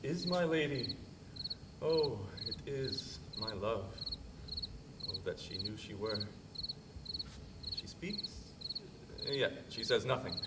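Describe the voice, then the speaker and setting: Man acting a slow lovestruck Shakespearean youth, distant and noisy. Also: medium pitch, natural voice. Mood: tender awe. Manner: theatrical, lingering, exclamatory. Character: lovestruck young man gazing at his beloved at night. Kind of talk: Shakespearean stage monologue. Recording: distant mic outdoors, loud insect noise.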